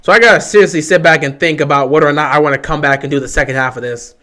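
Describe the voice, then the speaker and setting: A young man hosting a livestream, talking casually over a football game. His voice is hoarse and strained from a sore throat, and he sounds resigned and fed up. He sits a little high in his pitch range for a man. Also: fairly even intonation, racing, sharp tone. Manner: frustrated, resigned rambling. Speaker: livestream host. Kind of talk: casual live game commentary.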